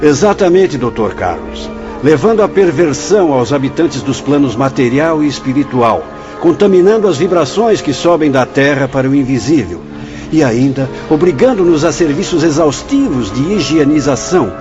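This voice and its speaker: A man's voice: fairly high pitch, lively intonation, normal speed, lightly acted. An actor in a Brazilian radio drama playing a grave, fatherly but stern spirit director, speaking formally with a Brazilian accent. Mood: solemn. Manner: solemn, declamatory, formal. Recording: dull lo-fi audio with music behind.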